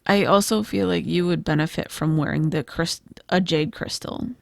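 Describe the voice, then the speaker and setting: Hoarse woman giving a gentle tarot reading. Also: sits low, moderate pace, dry recording, clean studio sound. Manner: gentle, reflective, many fillers like "kind of" and "I feel like". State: losing her voice, hoarse. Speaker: tarot reader. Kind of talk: pick-a-card tarot reading.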